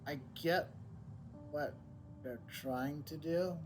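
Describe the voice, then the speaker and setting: A man giving casual commentary on a game playthrough, halting and searching for his words. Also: fairly high pitch. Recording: loud game music behind the voice.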